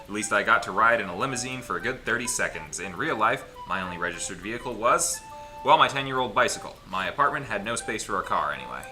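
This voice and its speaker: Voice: medium pitch, lively intonation, fast. A person reading a visual novel aloud as narrator in a casual group playthrough, playful and dramatic, with stumbles and wry asides. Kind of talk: casual gaming let's play, reading story text aloud with asides. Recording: music playing behind the voice.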